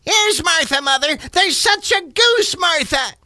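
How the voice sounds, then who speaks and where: A man playing an eager, excitable young girl in a radio drama. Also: very high voice, unhurried, no room sound, studio quality, pitched above the performer's own voice, heavily acted. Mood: excited. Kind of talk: radio theater acting.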